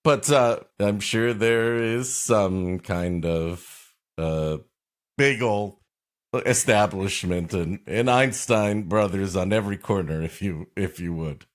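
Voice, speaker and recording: Male comedy co-host, lowish pitch, slow delivery. Casual, wry riffing and banter. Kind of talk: casual comic commentary and banter.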